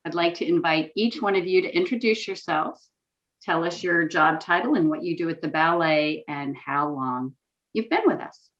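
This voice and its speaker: A woman's voice: fairly low pitch, animated, normal speed. A middle-aged woman moderating a panel on a video call, friendly as she presents the guests. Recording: dull, distant video call audio.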